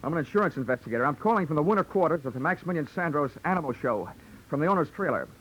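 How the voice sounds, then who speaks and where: Wry old-time radio detective. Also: male voice, on the high side, rapid-fire, slight background noise, low-quality recording. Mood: brisk, businesslike. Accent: American. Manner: dry, deadpan, wisecracking. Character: wry, hard-boiled male insurance investigator narrating his case. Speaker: lead actor and narrator. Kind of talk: 1940s radio detective drama narration. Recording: old 1940s radio recording, music behind.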